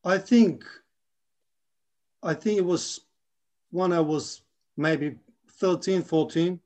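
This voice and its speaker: A man in his forties from Bulgaria, speaking English, talking haltingly as a guest in a casual interview. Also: high voice, a little mellow. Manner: halting, with many restarts and fillers. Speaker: guest, 3D artist.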